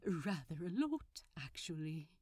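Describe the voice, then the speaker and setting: A woman's voice: deep voice, big pitch swings, measured pace, mellow, no echo, clean studio sound, voice pushed down, heavily acted. A woman voicing a genteel, fond, bashful male supervillain with prim, polite diction in an audiobook reading. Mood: flustered. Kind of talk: fan fiction audiobook character voice.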